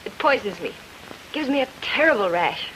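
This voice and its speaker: An actress playing a coquettish, mink-loving young bride in an old-time radio detective comedy, coy and coaxing. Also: female voice, on the high side, expressive, moderate pace, fairly bright tone, slight background noise, rough recording.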